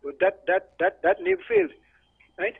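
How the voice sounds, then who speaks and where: An older man with a Trinidadian accent giving an emphatic, opinionated explanation on a radio call-in, halting and repeating words. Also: high-pitched, wildly swooping pitch, gravelly, slightly rough. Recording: dull lo-fi phone line on radio.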